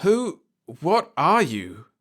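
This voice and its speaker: A man's voice: on the high side, wildly swooping pitch, very slow, a bit bright, pitched above the performer's own voice, full character voice. A man voicing a bewildered, stubborn male doctor in a sci-fi story, urgent and questioning. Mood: alarmed.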